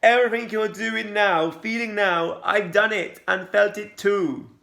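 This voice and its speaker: A man's voice: sits high, steady tone, a little slow, gravelly, sharp tone, voice pushed up, full character voice. A man voicing a tearful, loving middle-aged mother who speaks with a shaking voice. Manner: shaky, earnest. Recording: roomy echo.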